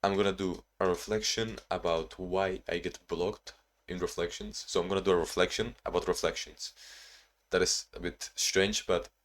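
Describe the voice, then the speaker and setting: A man's voice: sits low, fairly even intonation, normal speed. A young man from Spain and Ukraine, not a native English speaker, talking casually to his own camera in a rambling, self-reflective way with many restarts, tired from little sleep. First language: Spanish or Ukrainian. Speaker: vlogger.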